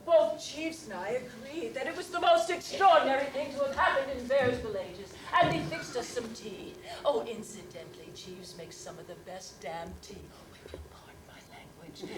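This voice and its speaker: A woman's voice: fairly high pitch, lightly acted. A woman performing a comic monologue as a prim elderly widow with genteel, mannered speech and frequent laughter. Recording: roomy, distant mic with background noise.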